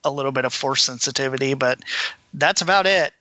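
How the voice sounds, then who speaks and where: A man's voice: mid-range voice, brisk, bright, forceful tone, poor audio. A man co-hosting a podcast in casual, conversational discussion. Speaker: podcast co-host.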